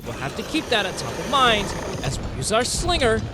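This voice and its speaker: Guy narrating a monster hunting game like a nature doc, kinda high voice, super animated pitch, dramatic. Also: heavy background noise. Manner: dramatic, measured storytelling with formal wording. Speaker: game streamer narrating own hunt. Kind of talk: scripted-sounding nature documentary style game commentary. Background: game music under the voice.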